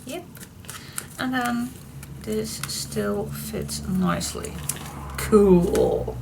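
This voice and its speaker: A woman hosting a papercraft tutorial, chatting casually while she works, her voice a little froggy and hoarse. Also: a little high, noisy background. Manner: chatty, slow and relaxed, talks to herself while she works. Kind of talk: casual crafting tutorial talk.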